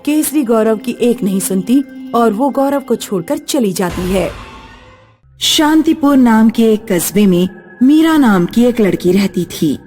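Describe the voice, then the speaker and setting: A woman's voice: fairly high pitch, lively intonation, measured pace, dark tone, faint music behind. A woman narrating a scripted story as a storyteller.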